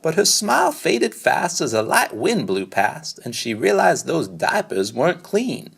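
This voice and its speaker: A man reading a children's storybook aloud in an expressive, rhythmic, dramatic storytelling style. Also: on the high side, a little slow, creaky, breathy edge. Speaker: storybook reader.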